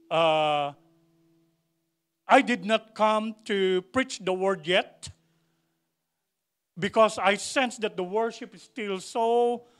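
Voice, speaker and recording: A man's voice: sits high, a bit bright. A man preaching a sermon to a congregation, repeating phrases for emphasis and asking the church questions. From Philippines. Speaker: pastor.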